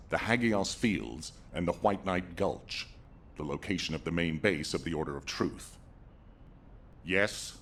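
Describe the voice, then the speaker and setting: An actor playing a commanding, resolute military leader in a video game, with an authoritative, measured and formal delivery. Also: male voice, low-pitched, creaky, slight background noise, exaggerated voice.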